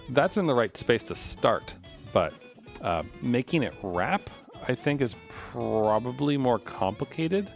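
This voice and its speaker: A man with a low voice talks through his work on a live coding stream. He is casual and unscripted, wandering from thought to thought as he reasons aloud. Soft guitar music plays faintly behind him. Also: vocal fry. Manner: rambling, thinking aloud.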